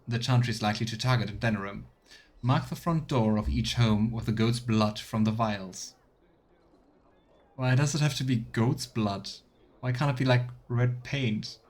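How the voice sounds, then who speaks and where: A man narrates his let's play of a fantasy role-playing game in a mid-range voice. He moves between reading text aloud and playful, questioning asides to himself and viewers. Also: medium pitch.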